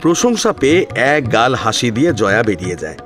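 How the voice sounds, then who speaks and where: A man reading a scripted story as an expressive audio narrator. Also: medium pitch, moderate pace. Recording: music behind the voice. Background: instrumental music with plucked strings.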